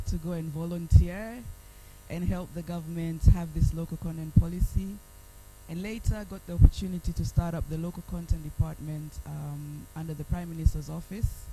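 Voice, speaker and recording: A woman's voice: sits low, noisy background. Earnest Tanzanian woman on a panel. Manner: earnest, measured, advocating. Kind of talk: panel presentation.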